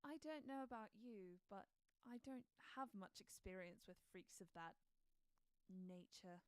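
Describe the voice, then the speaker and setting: A woman playing a brash, sharp-tongued, sardonic female follower character in scripted video game voice lines. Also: medium pitch, lively intonation, unhurried, clear, resonant tone, no room sound, natural voice. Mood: wry recounting. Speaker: voice actor for a game mod character.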